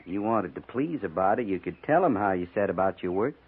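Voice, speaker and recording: An actor playing a folksy frontier soldier who goads coolly and slyly in a 1950s western radio drama. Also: male voice, lowish voice, lively intonation, fairly quick, breathy edge. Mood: feigned humility. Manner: folksy, slyly goading understatement. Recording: thin, tinny 1950s radio recording.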